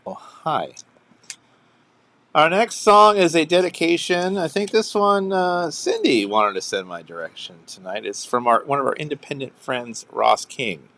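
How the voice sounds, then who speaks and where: A man's voice: on the high side, sing-song, measured pace, vocal fry, sharp tone. A young man hosting a casual live music hangout stream, chatty and rambling, often chuckling and restarting sentences. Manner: rambling, chuckling, self-interrupting. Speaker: show host.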